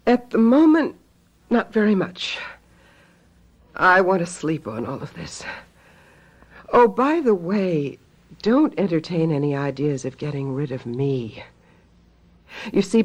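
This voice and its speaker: Husky witchy sister-in-law, shrewd and threatening, radio mystery, cool and deliberate. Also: lowish voice, darkish tone, full character voice. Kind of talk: radio mystery drama acting and narration.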